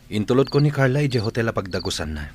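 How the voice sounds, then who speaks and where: A man's voice: fairly low pitch, very animated, fast talker. An actor playing a troubled young man torn between two loves, earnest and burdened, in a radio drama. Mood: uneasy. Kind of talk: Ilocano radio drama acting. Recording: noisy track with clicks and insects.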